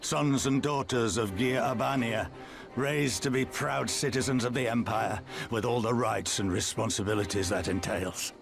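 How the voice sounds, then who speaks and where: An actor playing a weary, stern older rebel leader in a game cutscene, speaking gravely and bitterly. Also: male voice, mid-range voice, moderate pace, over music, lightly acted. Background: orchestral game score.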